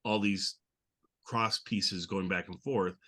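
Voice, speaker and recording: A man's voice: sits low, fairly even intonation, slow, sharp tone. A man hosting a casual podcast discussion, chatty and appreciative, often restarting his sentences. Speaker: podcast host discussing old television and radio comedy.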